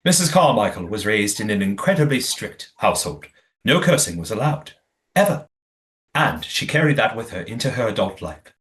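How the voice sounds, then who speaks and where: A man's voice: lowish voice, big pitch swings, moderate pace, a bit bright. A man playing a courteous master of a secretive old manor in an audio play, with formal, precise wording. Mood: grave, explanatory.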